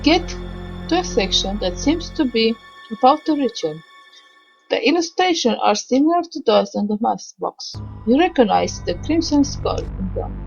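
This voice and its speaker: This is a woman with a fairly high voice, reading a visual novel aloud as she plays. Her delivery is halting, with stumbles, pauses and little asides between the narrated lines. Game music plays clearly behind her. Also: big pitch swings, a little mellow, muffled recording.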